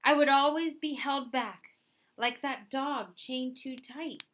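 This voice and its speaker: A woman reading a picture book aloud as a storyteller, with expressive, deliberate phrasing. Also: high voice. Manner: dramatic, deliberate phrasing with acted dialogue. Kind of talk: expressive children's read-aloud narration.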